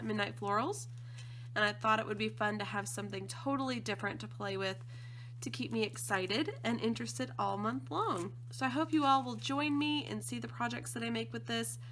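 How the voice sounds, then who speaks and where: A woman with a slightly croaky voice from allergies, chatting casually and gushing with enthusiasm as she unboxes craft supplies. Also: on the high side, moderate pace, fairly bright tone, slight background noise. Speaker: scrapbooking design team member.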